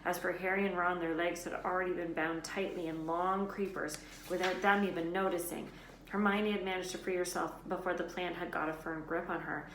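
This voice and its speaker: A woman reading a children's novel aloud as an expressive storyteller. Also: lowish voice, a little fast. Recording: roomy echo, faint background noise.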